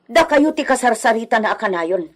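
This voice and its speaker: A woman's voice: fairly high pitch, lively intonation, a little fast, natural voice. An actress playing an inquisitive village woman in an Ilocano radio comedy drama. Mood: questioning.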